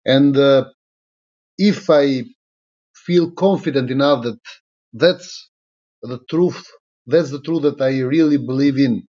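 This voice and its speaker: A middle-aged man from Albania, a native Albanian speaker with an Albanian accent, addressing an online audience informally in a halting, deliberate way, repeating phrases for emphasis. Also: mid-range voice, dark tone. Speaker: political movement leader. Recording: slightly dull, lo-fi sound.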